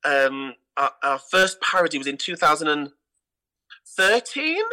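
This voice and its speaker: A man's voice: on the high side, big pitch swings, unhurried, bright, forceful tone. A British man speaking enthusiastically and conversationally as a guest in an interview about his work. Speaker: guest, artistic director of a pantomime theatre company.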